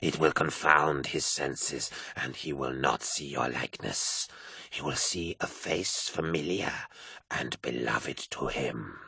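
A cryptic old priestess, as a male narrator puts her on, her voice a rasping, breathy hush that drags slowly. It is deep yet bright-edged and menacing, and sounds recorded close and dry. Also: no echo, heavily acted. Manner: slow, low and cryptic. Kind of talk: audiobook character voice.

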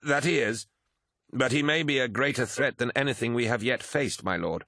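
A man voicing a deferential military adviser in an audiobook, measured, formal and obsequious. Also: medium pitch, bright, forceful tone, no room sound, clean studio sound, voice pushed up. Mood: cautious.

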